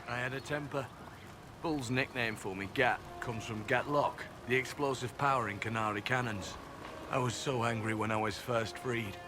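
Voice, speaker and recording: A man's voice: mid-range voice, steady tone, moderate pace, music in the background, close to an everyday voice. A man playing a guarded elf spy and former slave in a video game, speaking dryly and earnestly. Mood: earnest, reflective. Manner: dry, matter-of-fact, quietly earnest. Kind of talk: video game cutscene acting. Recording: game capture with loud ambient background noise.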